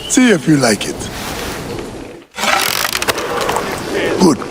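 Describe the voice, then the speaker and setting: An actor playing a frank, weary village protector in a video game cutscene, speaking emphatically. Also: male voice, on the high side, huge pitch swings, fairly quick, background noise. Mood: friendly.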